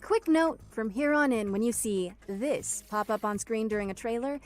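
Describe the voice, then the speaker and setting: A woman's voice: fairly high pitch, normal speed, darkish tone. A woman co-hosting a video game showcase with scripted comedy and a wry, teasing manner. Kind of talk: scripted comic presenting.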